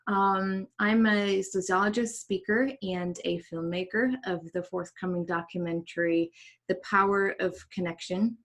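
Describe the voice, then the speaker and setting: A woman's voice: mid-range voice, fairly even intonation, measured pace. A woman from Germany, introducing herself as a panelist on a video call. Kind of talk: online forum introduction.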